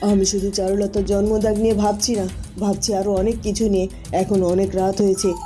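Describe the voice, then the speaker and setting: A woman's voice: mid-range voice, flat delivery, brisk, warm tone, soft background music, close to an everyday voice. A woman voicing a scheming, impatient evil queen in a cartoon fairy tale, haughty and agitated. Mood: dismissive.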